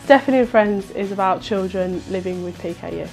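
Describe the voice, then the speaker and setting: A woman's voice: medium pitch, fairly quick, gravelly, darkish tone, faint music behind, rough recording. A woman in her twenties who works as a nurse, speaking in a documentary interview in an upbeat, matter-of-fact way. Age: 20s.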